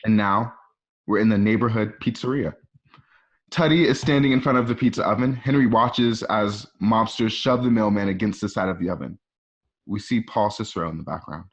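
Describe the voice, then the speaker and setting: Low-voiced man narrating a script. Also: normal speed, muffled recording. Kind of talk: scripted stage-direction narration for a radio play.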